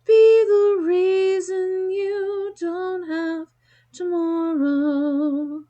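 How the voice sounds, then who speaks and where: Woman as remorseful dad singing a very slow, very high musical farewell lullaby. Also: fairly even intonation, dark tone, lo-fi sound, soft background music, full character voice. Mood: tender resolve.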